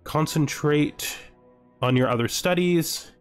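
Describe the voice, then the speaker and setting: A man's voice: on the high side, slow pace, clean tone. Man doing game commentary over music. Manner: thinking aloud, asking himself questions. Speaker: let's-play host. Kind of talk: casual strategy game commentary, reading event text aloud.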